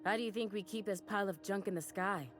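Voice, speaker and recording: An actress playing a folksy, brisk woman mechanic in a video game, with slangy, drily complaining talk. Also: medium pitch, over music, lightly acted. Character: folksy, brisk woman cosmetic mechanic aboard a space station. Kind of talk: video game character dialogue.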